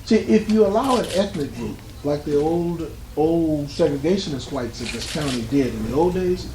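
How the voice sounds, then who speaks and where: A man's voice: fairly high pitch, animated, normal speed, warm tone, echoey room, slight background noise, rough recording. A man speaking up at a community meeting, emphatic and argumentative, using rhetorical questions. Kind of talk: public comments at a meeting. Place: art gallery meeting room.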